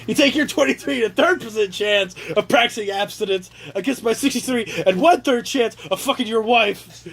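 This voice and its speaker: A man casually reading aloud and riffing on the text with sarcastic, profane, animated asides, on an amateur home recording. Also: sits high. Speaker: reader riffing on a handbook aloud to his brothers. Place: casual room with brothers chiming in.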